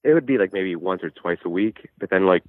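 A man's voice: low voice, animated, fast, dry recording. A man calling in to a show, talking casually, hesitantly and with swearing about his own troubles over a phone line. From New Jersey. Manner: hesitant, profane. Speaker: phone-in caller. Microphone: phone call. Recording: muffled band-limited phone line.

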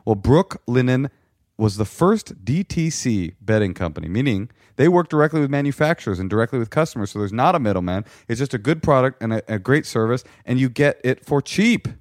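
A man's voice: mid-range voice, animated, fairly quick, no echo, clean studio sound. An American man co-hosting a podcast in casual comic chat, opinionated and joking, leaning on his words for emphasis. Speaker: podcast co-host.

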